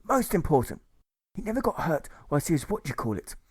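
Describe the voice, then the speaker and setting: A man playing a fumbling old colonel, high and lively voice, words trailing off, dry sound. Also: fairly quick, husky, no room sound. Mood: blustering. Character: rambling forgetful old army colonel.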